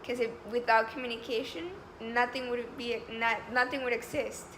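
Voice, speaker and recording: A woman's voice: a little high, steady tone, moderate pace, noisy background. Chatty young woman from Colombia. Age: 20s. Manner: eager, talkative, upbeat, with frequent fillers. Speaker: job candidate. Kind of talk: mock job interview.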